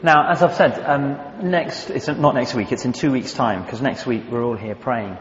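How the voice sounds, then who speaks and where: A man preaching a sermon to his church, conversational and thoughtful, pausing to search for words. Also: medium pitch, slight background noise. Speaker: pastor. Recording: echoey hall, dull lo-fi sound.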